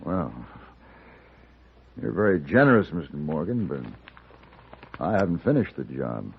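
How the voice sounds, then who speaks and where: An actor playing a cool, hard gunfighter for hire in a 1950s radio western, speaking in a deliberate, terse, understated way. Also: male voice, deep voice, big pitch swings, vocal fry, breathy edge, heavily acted. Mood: grave, probing. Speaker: lead actor and first-person narrator. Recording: muffled vintage radio broadcast, music underneath.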